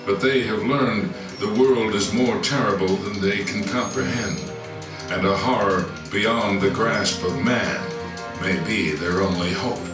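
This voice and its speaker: A man performs a grave, doom-laden trailer narrator for an audio drama. His lowish voice echoes from a distance under loud guitar music. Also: fairly even intonation, a little slow, lightly acted. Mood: grave, ominous.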